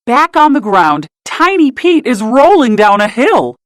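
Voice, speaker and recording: A woman narrates a children's storybook with lively, swooping intonation. She takes her time over each phrase, telling it expressively for young listeners. The recording is close and dry. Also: sits high, measured pace, no echo. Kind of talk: scripted children's read-aloud narration.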